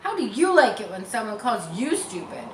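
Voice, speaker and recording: A woman voicing a bossy, scolding schoolgirl in a children's storybook read-aloud, loud and emphatic. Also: on the high side, very animated, moderate pace, voice pushed up. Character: bossy shouting schoolgirl calling the game. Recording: roomy, distant mic, faint noise.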